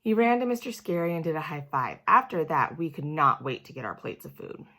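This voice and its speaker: A woman storyteller reading a children's book aloud in an expressive, animated way with a childlike first-person delivery. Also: low voice, brisk.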